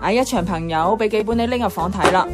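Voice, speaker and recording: Actress as cheeky young woman, Hong Kong Cantonese, mid-pitched, bossy and blunt, playful radio drama dialogue, faint music behind. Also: fast talker, natural voice. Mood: breezy. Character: bossy, cheeky, teasing young female flatmate.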